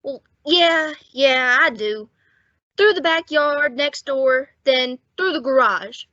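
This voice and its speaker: A woman playing a weary, wounded young male fugitive who talks haltingly in an old-time radio drama. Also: sits high, bright, forceful tone, no echo, full character voice. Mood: hesitant. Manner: halting, unsure. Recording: dull, dry lo-fi audio.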